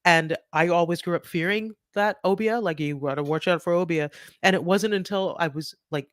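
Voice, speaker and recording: A woman's voice: lowish voice, moderate pace, no room sound. Trinidad-born woman author, dry close sound. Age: middle-aged. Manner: animated, many restarts and "right?" tags. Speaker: author guest. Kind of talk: conversational interview.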